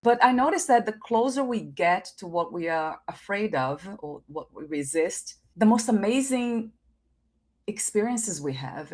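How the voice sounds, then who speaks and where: A woman's voice: medium pitch, darkish tone. A woman hosting an interview, thoughtful and affirming, musing aloud between her guest's stories. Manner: thoughtful, affirming, frequent listening murmurs. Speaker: host. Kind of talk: reflective interview conversation.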